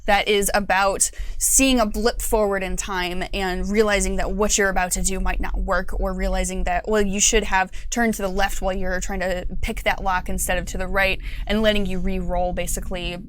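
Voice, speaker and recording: Brief: young female designer guest, measured explainer, dry studio sound. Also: medium pitch, little pitch movement, smooth, no echo. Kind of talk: casual explanatory chat. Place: small studio set.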